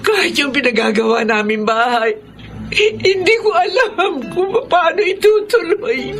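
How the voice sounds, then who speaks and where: A woman's voice: extremely high pitch, unhurried, music in the background. A woman playing a grief-stricken, despairing young widow and mother in a Filipino radio drama, sobbing as she speaks with a Filipino accent. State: crying, sobbing.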